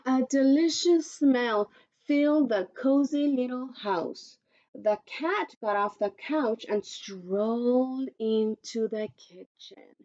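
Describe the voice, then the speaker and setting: Slow-paced woman teacher reading a storybook. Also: a little high, darkish tone, muffled recording. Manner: instructive, poses questions to listeners. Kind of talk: children's read-aloud with questions.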